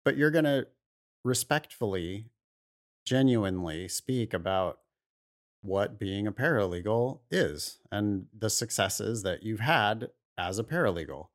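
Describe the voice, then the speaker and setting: Blunt, fairly low-voiced man hosting podcast. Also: animated, a little slow. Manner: blunt, opinionated. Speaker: podcast host. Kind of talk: casual advice discussion.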